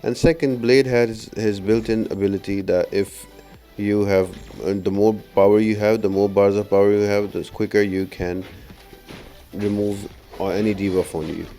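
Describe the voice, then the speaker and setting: A man's voice: on the low side, fairly even intonation, normal speed, warm tone. A man giving explanatory game walkthrough commentary, laying out tips step by step in an instructional way. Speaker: gaming guide creator. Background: background music.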